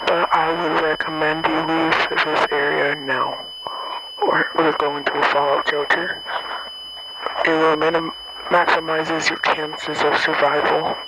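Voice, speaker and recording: A man delivering an emergency broadcast announcement in a flat, solemn manner. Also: on the high side, unhurried. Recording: loud hum and buzzing alarm noise.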